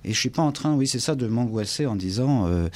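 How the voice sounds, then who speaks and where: A man's voice: medium pitch, expressive, fairly quick, a little mellow, no echo. A man from France with a French accent, speaking reflectively as an interview guest, often searching for words and repeating himself. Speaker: interview guest, English teacher and author.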